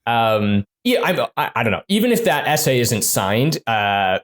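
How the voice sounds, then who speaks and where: A man who designs games, talking casually in an interview, full of fillers and quick restarts. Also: medium pitch, very animated, fairly bright tone. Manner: chatty, many fillers and restarts. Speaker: game designer guest.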